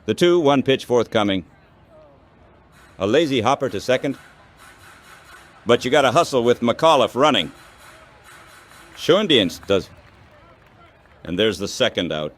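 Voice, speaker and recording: A man doing baseball play-by-play commentary as the announcer, in measured, clipped phrases. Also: medium pitch, sing-song.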